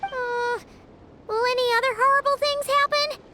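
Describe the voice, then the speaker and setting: A woman voicing a small, chatty female companion sprite in a video game, with a squeaky, exclaiming voice. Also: fairly even intonation, moderate pace, heavy background noise. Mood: uneasy. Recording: game audio with loud music.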